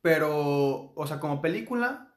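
Mexican man, podcast co-host, casual and rambling, slightly high voice, echoey room. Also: expressive, normal speed. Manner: rambling with many fillers. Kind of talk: casual chat.

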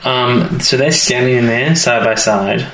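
A man's voice: medium pitch, steady tone, unhurried. A man co-hosting a podcast, recapping a story in casual, informal chat and acting out bits of dialogue. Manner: informal storytelling, acts out quotes. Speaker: podcast co-host. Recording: roomy echo, faint background noise.

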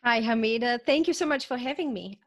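A woman's voice: on the high side, animated, fairly quick. Croatian-accented woman telling her story. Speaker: podcast guest, author. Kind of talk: conversational personal storytelling.

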